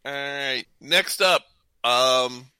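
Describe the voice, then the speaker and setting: A man's voice: mid-range voice, drawn-out words, sharp tone, clean tone, dry recording. A man hosting a trivia game on a casual talk show, speaking informally and hesitating a little when he ad-libs. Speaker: podcast host running a trivia game.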